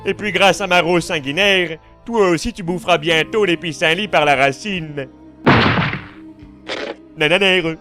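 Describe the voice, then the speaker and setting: A man's voice: high voice, a little slow, pauses often, pushed and bright, soft background music. A man voicing a vain, effeminate warrior villain in an anime parody dub, with a melodramatic, preening manner. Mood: petulant, mocking. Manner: melodramatic, mock-grandiose, preening.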